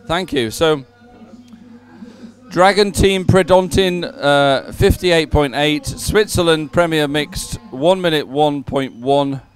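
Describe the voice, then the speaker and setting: A man commentating live at a sporting event and reading out results in a hesitant, halting way. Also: on the high side, faint music behind.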